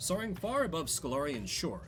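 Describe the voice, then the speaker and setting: A man's voice: on the high side, huge pitch swings, brisk, fairly bright tone, soft background music. A man giving casual game commentary, thinking aloud and reading out story narration as he plays. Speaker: streamer playing and solo-voicing a story game.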